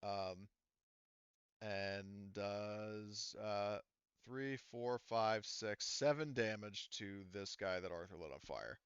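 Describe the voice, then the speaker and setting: A man with a fairly deep, smooth voice runs a tabletop roleplaying game as game master. His narration is casual and colloquial. The sound is close and dry, though a little dull. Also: slow, a bit bright, no room sound.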